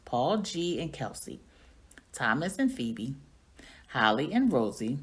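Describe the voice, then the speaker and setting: A woman reading a children's story aloud in an expressive storytelling manner. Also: rumbling low, takes it slowly. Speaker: reading coach or teacher reading aloud.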